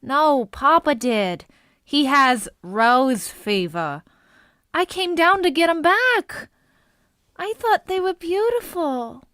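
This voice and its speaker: A woman's voice: sits high, expressive, takes it slowly, a bit bright, no room sound, clean studio sound, heavily acted. A woman playing a sweet, devoted young daughter in a vintage-style radio play. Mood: tender. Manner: sweet, pleading. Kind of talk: 1940s radio acting.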